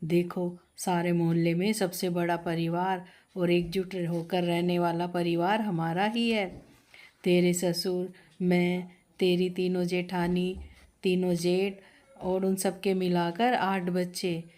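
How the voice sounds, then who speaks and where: A woman's voice: lowish voice, level tone, takes it slowly, a little mellow, voice pushed down. A woman voicing an older mother-in-law, the instructive matriarch of a big family in a story. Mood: proud, welcoming.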